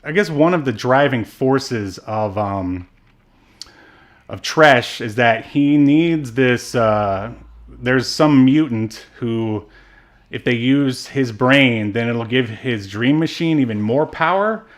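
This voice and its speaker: A man co-hosting a casual film discussion, thinking aloud in a halting, wry manner. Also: mid-range voice. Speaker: podcast co-host.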